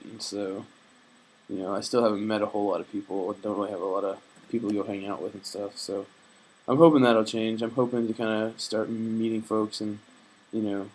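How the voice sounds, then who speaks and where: A man's voice: lowish voice, a little hiss or hum, low-quality recording. A young man recording a video diary, talking in a hesitant, reflective and earnest way, full of fillers and restarts, homesick. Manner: earnest, faith-minded. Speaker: vlogger. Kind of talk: casual personal video diary.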